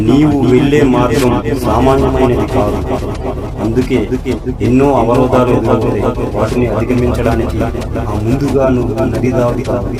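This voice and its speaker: A man playing a benevolent monkey god in a cartoon story, giving guidance in a measured, solemn manner. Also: mid-range voice, close to an everyday voice, low-quality recording.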